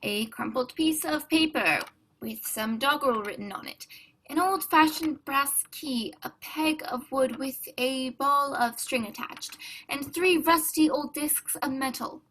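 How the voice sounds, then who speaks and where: A woman's voice: high-pitched, measured pace, fairly bright tone, slight background noise, rough recording. A woman playing a fussy, tidy Victorian male doctor who narrates and scolds his untidy friend in a radio play. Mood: skeptical. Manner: prim and fussy, exasperated scolding. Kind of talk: amateur radio play acting.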